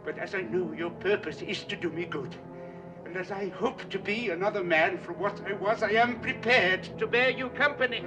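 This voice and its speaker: Man playing an old miser, earnest formal speech, very high pitch, film soundtrack with heavy music. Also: normal speed, natural voice. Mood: spooked. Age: older. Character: old miser man, fearful then bewildered. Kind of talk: 1970s film musical acting. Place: snowy outdoor street set.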